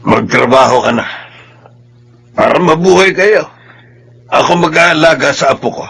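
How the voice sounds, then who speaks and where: An actor playing a stern, pushy older father in a Filipino radio drama, gruff and commanding. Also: fairly high pitch, lively intonation, moderate pace, fairly bright tone, exaggerated voice. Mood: scolding. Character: stern, pushy older father and grandfather, scornful of his son-in-law.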